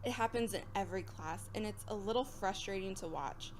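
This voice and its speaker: Audio drama teacher female actor: mid-pitched, instructive, brisk and opinionated, faint ambient noise behind. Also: close to an everyday voice. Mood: amused, instructive. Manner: instructive, matter-of-fact.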